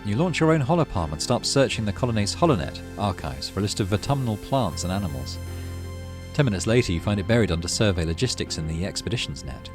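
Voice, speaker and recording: A man with a low voice and lively intonation narrates a game's story. He slips between expressive reading and offhand let's play commentary, with faint music behind him. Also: fast. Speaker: gaming channel host.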